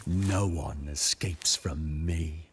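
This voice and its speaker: An actor playing a stern, imposing supernatural male figure in a video game, pompous, archaic and deliberate in speech. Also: extremely low pitch, very noisy, full character voice. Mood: stern, commanding. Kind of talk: video game cutscene acting.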